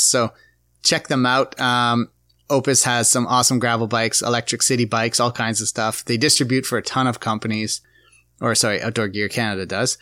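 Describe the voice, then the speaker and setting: A man's voice: medium pitch, sharp tone, dry recording, clean studio sound. A man co-hosting a casual podcast, upbeat as he runs through announcements. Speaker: podcast co-host.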